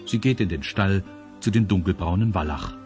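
A man's voice: deep voice, lively intonation, normal speed, darkish tone, faint music behind. A man narrating a children's audio drama as a scripted storyteller.

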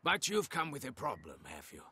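Kindly old poorhouse keeper, gravelly voice. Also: male voice, medium pitch, wildly swooping pitch, a little fast, sharp tone, slight background noise, full character voice. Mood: calm, courteous. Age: older. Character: kindly, patient older man running a poorhouse. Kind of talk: video game voice acting.

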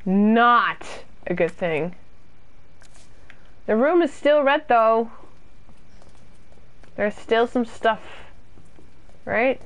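A young woman from the UK talks slowly and easily as she streams a game from a small room. Her voice sits a little high, and she is chatty and self-deprecating as she answers her viewers' comments. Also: lively intonation.

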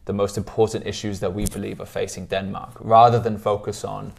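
Thirties male editor, studio guest; low monotone delivery, thoughtful with hesitations. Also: a little fast, creaky, a little hiss or hum. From Switzerland. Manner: thoughtful, hedging with ums and restarts. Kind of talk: studio interview. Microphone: lapel.

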